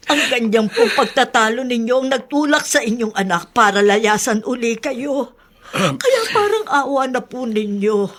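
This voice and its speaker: Loyal, anxious housemaid, higher voice, humble and beseeching, radio serial. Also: female voice, fairly bright tone, full character voice. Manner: respectful, pleading. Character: devoted, deferential nanny and housemaid, anxious. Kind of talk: radio drama acting.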